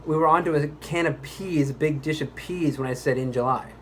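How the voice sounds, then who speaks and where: A man's voice: on the high side, fairly quick, a little hiss or hum, close to an everyday voice. Man acting a pompous, exasperated voice-over actor. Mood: indignant. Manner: grandiose, indignant, condescending. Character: exasperated, pompous male commercial voice actor at a recording session. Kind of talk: re-enacted commercial recording outtake.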